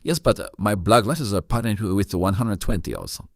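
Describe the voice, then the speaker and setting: A man hosting a casual chat, asking questions in a halting, inquisitive way. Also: fairly low pitch, sing-song, dry recording, studio quality.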